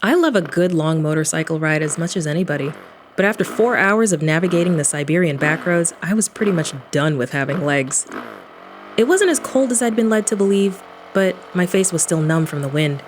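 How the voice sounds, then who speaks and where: An irreverent occult-investigator heroine voiced by an actress tells her tale in an audio drama. Her voice sits fairly low, sardonic and conversational, with clear ambient sound behind it. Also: animated, normal speed, a little hiss or hum, lightly acted. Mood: wry, descriptive. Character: wry, irreverent female adventurer and occult investigator. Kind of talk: first-person audio drama narration.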